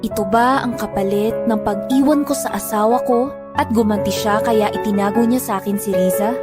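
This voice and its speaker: Anguished mother narrating over loud music, acted by a woman. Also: on the high side, lightly acted. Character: anguished mother recounting her search for her child. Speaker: narrator of an audio drama. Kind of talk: scripted first-person dramatic narration. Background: dramatic music.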